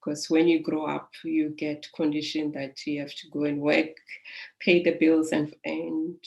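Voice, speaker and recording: South African woman, middle-aged, low and gravelly, flat even delivery, soothing and reflective. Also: rough recording. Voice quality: calm, soothing voice. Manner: reflective, unhurried, with soft laughs. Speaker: life coach. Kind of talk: personal storytelling.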